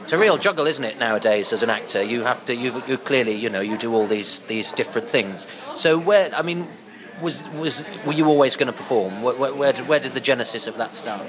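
A man hosting a podcast, asking halting questions full of restarts and laughing easily. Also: medium pitch, a crowd in the background. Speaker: podcast host. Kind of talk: casual interview chat.

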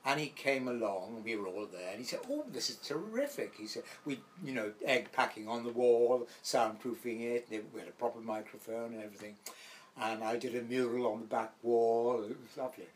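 A man's voice: medium pitch, fairly quick. An older man from Wales tells his stories in an interview, rambling and anecdotal, slipping into the voices of the people he quotes. His light Welsh accent colors an everyday mid-range voice. A faint hiss sits under the recording. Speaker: actor guest. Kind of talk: reminiscing interview.

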